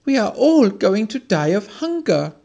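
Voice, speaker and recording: A storyteller voicing a curious, excitable male squirrel who exclaims his lines in a storybook reading. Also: unhurried, creaky, lo-fi sound. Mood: excited. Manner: exclaiming, high-strung.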